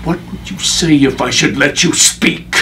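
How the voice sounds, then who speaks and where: A man's voice: deep voice, little pitch movement. An actor playing a vengeful, grief-maddened Roman father in a declamatory Shakespearean stage monologue. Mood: raging, anguished. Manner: declamatory, deliberate, emphatic. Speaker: actor in a workshop. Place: acting workshop, indoors. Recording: distant mic, clearly noisy workshop room.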